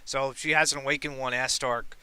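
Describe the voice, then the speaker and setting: A young man hosting a casual gaming podcast. He talks in an excitable, rambling way and swears casually. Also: medium pitch, normal speed, clean tone. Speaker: podcast host.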